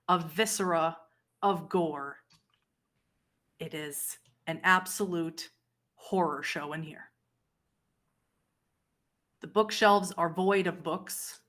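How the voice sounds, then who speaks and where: A woman with a slightly low voice acts as game master for a horror roleplay. She sets scenes deliberately, with dramatic pauses and careful emphasis. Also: fairly bright tone. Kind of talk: narrating a streamed horror tabletop roleplay.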